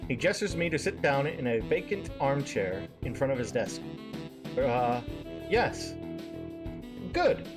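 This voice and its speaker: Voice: mid-range voice, big pitch swings, normal speed, hardly any pauses, music in the background. A streamer reading a visual novel aloud live, often stumbling and repeating phrases.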